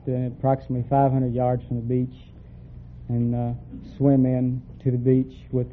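A man's voice: medium pitch, monotone, moderate pace, narrow, tinny audio. A young man answering interview questions as a quiz contestant, in a plain and hesitant way. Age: young adult. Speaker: navy frogman, quiz contestant. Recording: thin, faintly noisy old radio recording.

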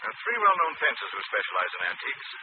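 An actor playing a suave, sardonic gentleman sleuth in a 1940s radio drama. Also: medium pitch, huge pitch swings, racing. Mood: intrigued, serious. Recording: tinny, noisy old radio recording.

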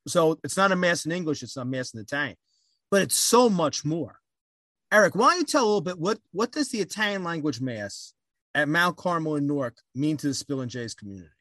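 A male co-host with a slightly higher voice who keeps pressing his guests with pointed questions. He sounds close and dry, with no room echo. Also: expressive, fairly quick, a bit bright. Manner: probing, asks pointed follow-up questions. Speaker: podcast co-host. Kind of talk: casual podcast conversation.

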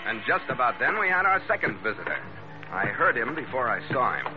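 A muffled vintage radio recording with music carries a man acting a tough skipper. He is terse, clipped and hard-boiled, with a mid-pitched voice in 1940s radio drama style. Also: sing-song, brisk, close to an everyday voice. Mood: hard-boiled narrating. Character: tough, terse male ketch skipper narrating his log.

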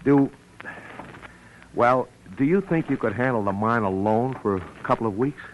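Man acting halting, shy radio-drama fellow, faint noise. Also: mid-range voice, lively intonation, muffled recording, lightly acted. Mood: hurt, earnest. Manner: hesitant, halting, apologetic. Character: shy, earnest, easily flustered male miner. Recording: noisy vintage radio recording.

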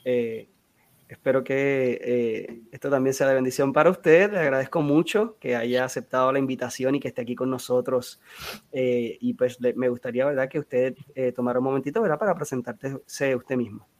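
A man's voice: medium pitch, normal speed, a little mellow. A man hosting a podcast interview, talking hesitantly with many fillers and tag questions. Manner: hesitant, frequent fillers and "¿verdad?". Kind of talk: casual interview.

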